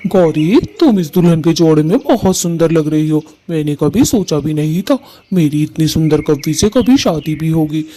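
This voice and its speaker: A man plays a devoted male bird in a family cartoon. His voice is mellow and soft-edged, pitched a little high for a man, with an earnest, affectionate delivery. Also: expressive, moderate pace, natural voice. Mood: admiring. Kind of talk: Hindi family cartoon story acting.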